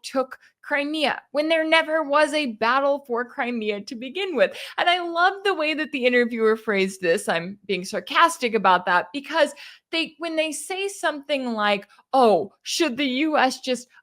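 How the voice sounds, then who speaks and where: American lady doing political commentary, kinda high voice, really emphatic w/ a sarcastic edge. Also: fairly bright tone. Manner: emphatic, rhetorical questions, sarcastic asides. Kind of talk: opinionated news commentary, reading interview quotes and reacting.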